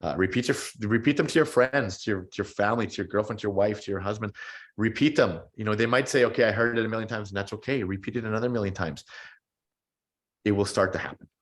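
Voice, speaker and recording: Man, thirties, very deep voice, thoughtful, stumbles and repeats words, casual interview guest. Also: fast talker. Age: 30s. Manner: reflective, repeats words while thinking. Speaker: podcast guest.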